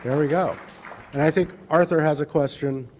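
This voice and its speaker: A man's voice: on the high side, moderate pace, a little mellow, a faint crowd, slight background noise. A man speaking at a service club meeting, answering audience questions in a chatty, self-deprecating way.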